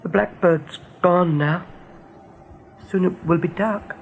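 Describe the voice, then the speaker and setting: A woman's voice: lowish voice, slow pace, gravelly. A woman playing a small, young, fearful runt rabbit who sees visions, speaking with trembling urgency in a radio drama. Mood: grave, insistent. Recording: noisy old radio play recording.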